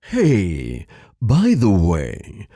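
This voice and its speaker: A man's voice: lowish voice, huge pitch swings, extremely slow, mellow, no echo, studio quality, full character voice. A man playing a brash, needling god in a fantasy audio drama, with a mocking drawl.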